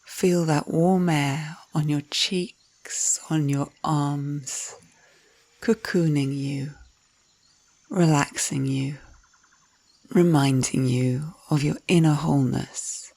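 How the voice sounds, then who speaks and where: A woman narrating a scripted guided visualisation in a soft, soothing, unhurried manner, with breathy phrase endings. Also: deep voice, fairly even intonation, no room sound. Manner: soothing, unhurried, gentle instructions.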